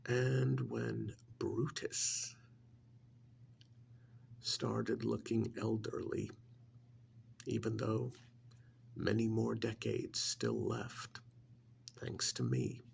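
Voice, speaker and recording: A man voicing an ancient alien creature who scorns humans, in a gravelly, breathy ASMR roleplay monologue that often sinks into whispers, slow and brooding. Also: on the low side, a little hiss or hum. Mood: quietly sinister. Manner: slow, deliberate, brooding, with sighs and pauses.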